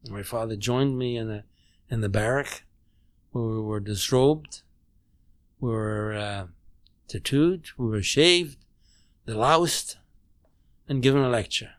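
Halting man in his nineties. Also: medium pitch, smooth, dry recording. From Poland. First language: German. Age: 90s. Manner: halting, measured, with frequent restarts. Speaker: interview guest. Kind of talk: recounting memories in conversation. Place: home dining room.

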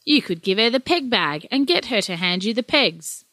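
A woman voicing a lazy, grumpy old grandfather, gruff and dismissive. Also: medium pitch, animated, moderate pace, a bit bright, no echo, lightly acted.

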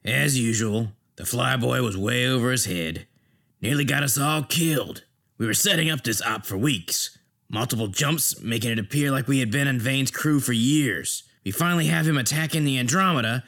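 A man's voice: mid-range voice, normal speed, fairly bright tone, lightly acted. A man playing a blunt, scornful military teammate griping in a personal log for an audio drama. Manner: blunt, disparaging.

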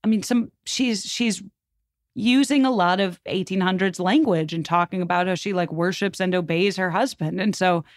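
A woman speaking as a podcast guest, chatting casually about history in an animated, colloquial way full of "like" and acted-out asides. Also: fairly low pitch, normal speed, dry recording, studio quality. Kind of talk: casual conversational commentary on history.

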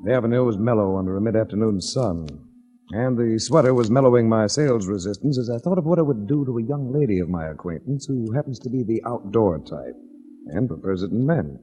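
An actor playing a suave, wry American private investigator in a 1940s radio detective drama, dryly wisecracking and narrating. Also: male voice, lowish voice, lively intonation, fairly quick, warm tone, slightly rough. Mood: wry, smooth. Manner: dry, wisecracking. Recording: muffled 1949 radio recording.

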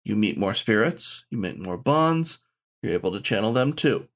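A man's voice: on the low side, expressive, moderate pace. A man voicing a frank badger shaman who mentors in a blunt, informal, explanatory way. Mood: instructive.

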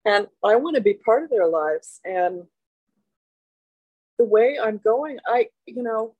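A woman in her seventies, a guest talking candidly and a little haltingly about her experience. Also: fairly high pitch, expressive, dry recording, narrow, tinny audio. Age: 70s. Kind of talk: interview.

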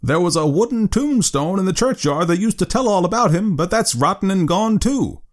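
A man's voice: high voice, lively intonation, moderate pace, voice pushed up. A man voicing an old man with a thin, piping voice. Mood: matter-of-fact.

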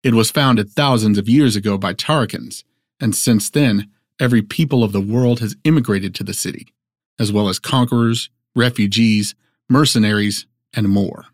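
A man plays a male narrator for an audio drama, setting out history in a formal, expository way. His voice sits on the low side and is measured throughout. The sound is close and dry, with no room around it. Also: no echo, natural voice. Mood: measured, factual. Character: male narrator of a fictional city's history, measured and matter-of-fact.

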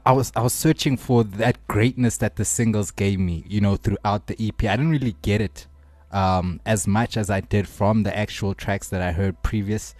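Low-voiced South African man, close and dry. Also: a little mellow, dry recording. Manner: slangy, addresses friends as "bruh" and "dog". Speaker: podcast co-host. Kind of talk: casual chat.

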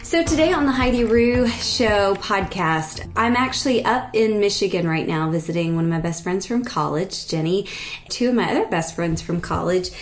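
A woman's voice: mid-range voice, animated, normal speed, soft background music. Chatty woman hosting a podcast. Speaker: podcast host. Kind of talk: casual chat among friends.

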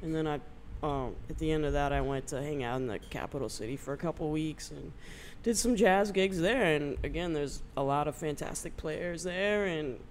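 Relaxed guest musician. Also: mid-range voice, moderate pace, a little hiss or hum. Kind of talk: casual interview chat.

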